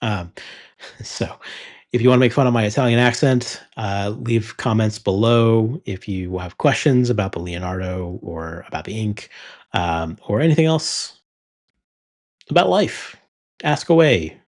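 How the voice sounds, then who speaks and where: A man hosting his fountain pen channel, talking through a pen review in a relaxed way with many ums and uhs. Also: fairly low pitch, measured pace. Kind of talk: casual product review.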